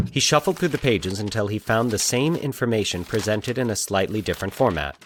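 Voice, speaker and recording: A man narrating a scripted, eerie fiction story as an expressive storyteller. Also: lowish voice.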